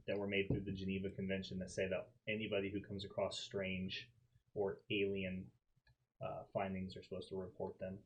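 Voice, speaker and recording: Man, deepish husky voice, roleplay game player, measured, deliberative. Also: monotone, highs cut off. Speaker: player in a tabletop role-playing game. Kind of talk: in-character tabletop discussion.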